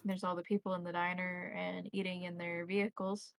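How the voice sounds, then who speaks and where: A woman's voice: on the low side, little pitch movement, brisk, gravelly. A woman running a tabletop roleplaying game as game master, narrating scenes and calling for rolls.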